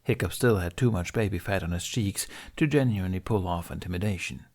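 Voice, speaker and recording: A man reading an audiobook story as narrator, in expressive scripted narration. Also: deep voice, brisk.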